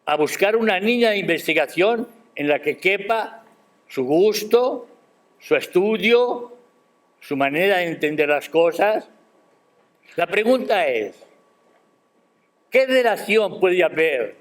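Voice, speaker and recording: An older man giving a formal academic speech at a university ceremony, measured and emphatic, posing rhetorical questions. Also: high-pitched. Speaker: guest speaker at a university ceremony. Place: university auditorium.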